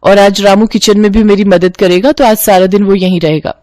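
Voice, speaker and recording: A narrator as a scheming, sugary young daughter-in-law. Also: female voice, medium pitch, steady tone, brisk, mellow, no echo, studio quality, close to an everyday voice. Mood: sweetly eager. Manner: sweetly bossy, dramatic.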